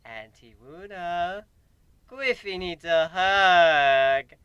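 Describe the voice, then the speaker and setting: A man's voice: sits high, drawn-out words, sharp tone, smooth, no echo, highs cut off, exaggerated voice. A man voicing a cocky, mischievous griffin captain with a smug, teasing, boisterous manner in a fantasy story. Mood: fake childish pleading.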